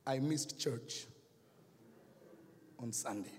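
A man with a Kenyan accent preaching a sermon, telling a story and swinging from hushed to shouting. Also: mid-range voice, extremely slow, big-room sound. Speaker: pastor. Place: church hall.

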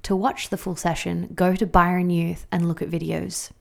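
A woman's voice: lowish voice, level tone, normal speed, creaky. A woman reading a short scripted announcement as an announcer. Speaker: announcer crediting session sponsors.

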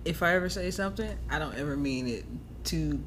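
A man's voice: on the high side, brisk, a bit bright, a little hiss or hum. A man co-hosting a podcast in casual chat, with a teasing, informal, slangy way of talking. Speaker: podcast co-host.

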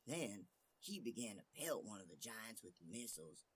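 A man's voice: fairly low pitch, big pitch swings, normal speed, a little hiss or hum. A man narrating a scripted fairy tale as the storyteller. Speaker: storyteller host.

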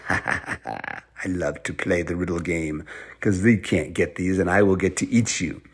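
A man voicing a hungry, gloating troll in a told story, menacing and drawn-out, with theatrical groans. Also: low-pitched, slightly rough, voice pushed down, heavily acted. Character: gloating hungry riddle-game troll, sore loser. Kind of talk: hammy oral storytelling character.

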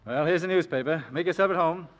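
An actor playing a genial middle-aged uncle in an old radio drama, with a hearty, folksy manner. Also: male voice, a little high, fast, lightly acted. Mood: cheerful, hearty. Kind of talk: 1940s radio drama acting.

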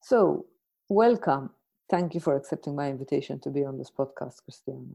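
A woman hosting a podcast, introducing and questioning in a conversational, reflective way with deliberate pauses. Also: extremely low pitch, animated, vocal fry, dark tone, husky. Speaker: podcast host.

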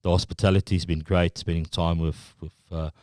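A middle-aged South African man with an Afrikaans accent, a guest talking casually and haltingly in an interview, with many restarts. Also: low-pitched, level tone, a little mellow, dry recording, studio quality. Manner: halting, full of restarts and fillers.

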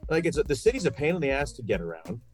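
A man's voice: mid-range voice, big pitch swings, racing, faint music behind. A man from New York in a casual, opinionated sports conversation, talking emphatically and posing rhetorical questions. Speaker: sports podcast guest and radio play-by-play broadcaster.

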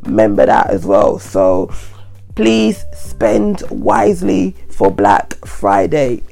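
A woman, croaky from a head cold, giving chatty, repetitive money advice straight to her viewers. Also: rumbling low, very animated, slow pace, warm tone, faint music behind. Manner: chatty, repetitive, earnest warnings. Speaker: vlogger. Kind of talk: casual advice monologue to camera.

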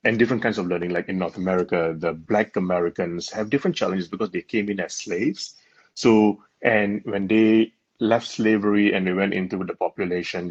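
A man's voice: low-pitched, breathy edge. A man from Malaysia, a guest in an interview, explaining in an earnest way and laughing often. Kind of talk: conversational interview. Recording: dull lo-fi sound.

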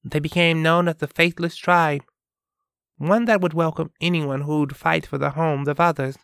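A man voicing a young woman who explains history in a measured way. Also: a little high, steady tone, creaky, fairly bright tone, smooth, no room sound, clean studio sound, pitched above the performer's own voice. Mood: explaining. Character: knowledgeable young woman, lore teller.

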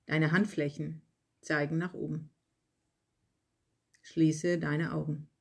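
A yoga nidra guide reading a scripted relaxation in calm, measured short phrases with pauses. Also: mid-range voice, gravelly, slightly rough. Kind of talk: slow guided relaxation meditation, read from a script.

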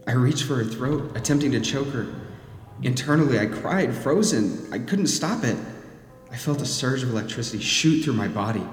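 A man's voice: mid-range voice, lively intonation, moderate pace, natural voice. Horror audio drama: male actor as troubled man under a cursed mask's hold, dramatic confessional delivery, heavy hall reverb, music underneath. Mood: grim, anguished. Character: troubled man narrating his possession by a cursed mask. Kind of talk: first-person horror audio drama narration.

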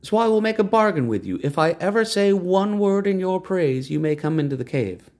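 A proud cave woman speaks in a high, lilting voice that swoops through a lively range, measured and declaring, as a male storyteller puts her on. Her tone carries a slight gravelly edge underneath. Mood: lofty.

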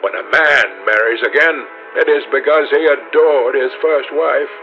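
A man voicing a witty, cynical aristocratic gentleman who delivers epigrams in a drawling manner for a storybook. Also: mid-range voice, measured pace, lo-fi sound, soft background music, pitched above the performer's own voice, close to an everyday voice. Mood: cynical, teasing.

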